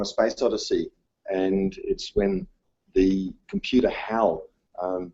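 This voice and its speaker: A male teacher whose first language was Dutch, giving a conference talk in a halting way, full of ums and uhs. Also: sits low, warm tone, breathy edge, dull audio. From Australia. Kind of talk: presentation talk. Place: conference room.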